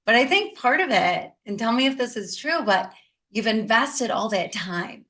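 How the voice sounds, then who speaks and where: A woman's voice: on the high side, animated, normal speed, a bit bright. A woman in her sixties hosting a podcast interview, who affirms her guest and shares her own experience in a conversational way. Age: 60s. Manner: affirming and empathetic, with a personal story. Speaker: podcast host.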